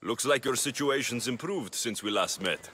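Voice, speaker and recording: An actor playing a self-assured, pragmatic ancient Greek mercenary in a game cutscene, terse and dry. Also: male voice, medium pitch, normal speed, lightly acted. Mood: earnest. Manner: terse, dry, matter-of-fact. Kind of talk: video game cutscene acting. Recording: music under the voice.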